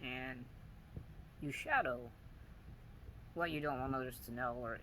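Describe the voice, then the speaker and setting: Soft-voiced man with a halting delivery. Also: medium pitch, rough recording. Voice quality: weak, soft voice. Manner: halting, searching for words, many pauses and fillers. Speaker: vlogger. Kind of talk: personal video diary. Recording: audible background noise.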